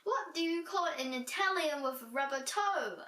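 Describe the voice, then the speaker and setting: A woman's voice: high voice, expressive, moderate pace, pushed and bright, pitched above the performer's own voice. A woman voicing a silly male royal jester in a children's storybook, telling jokes theatrically. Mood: gleeful. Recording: roomy echo, distant from mic.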